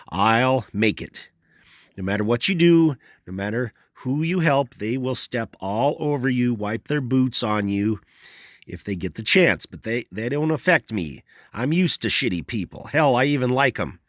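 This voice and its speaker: A man's voice: mid-range voice, expressive, moderate pace, no echo, clean studio sound, natural voice. A man voicing an opinionated middle-aged rural man who rambles in folksy, ungrammatical speech. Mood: rambling. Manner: folksy drawl, ungrammatical, long-winded.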